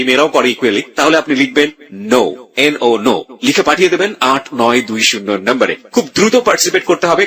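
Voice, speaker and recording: A man's voice: medium pitch, sharp tone. A man with a Bangladeshi accent hosting a radio interview, addressing listeners directly and mixing in English words. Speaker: radio show host.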